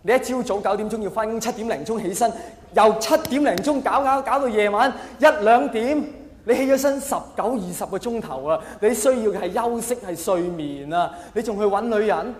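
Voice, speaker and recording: A man with a Hong Kong accent performing a self-mocking, mock-earnest stand-up comedy monologue in an animated way. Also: high-pitched, a little fast. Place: large live venue with long reverb. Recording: echoey hall, faint noise.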